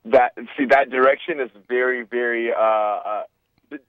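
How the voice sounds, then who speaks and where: A man's voice: medium pitch, steady tone, moderate pace, smooth, no echo. Young man, from Zimbabwe, earnest and rambling, tinny phone line, dry sound. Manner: earnest, rambling with many restarts. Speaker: guest. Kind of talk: phone-in interview.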